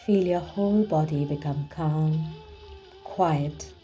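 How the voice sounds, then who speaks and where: A woman leading a guided relaxation visualization in a soothing, hushed manner with gently drawn-out phrasing. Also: deep voice. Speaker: guided meditation host. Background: background music.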